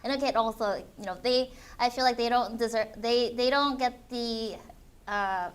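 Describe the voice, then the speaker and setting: This is a woman hosting an onstage interview. She asks long questions, pausing on "uh" and "um" and often restarting her sentences. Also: a little high, fairly even intonation, clear, resonant tone. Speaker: interview moderator. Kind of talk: hosting a live Q&A conversation.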